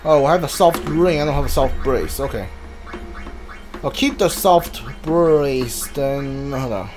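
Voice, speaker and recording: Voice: mid-range voice, unhurried. A video game streamer giving casual playthrough commentary, muttering to themselves while thinking aloud. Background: game music.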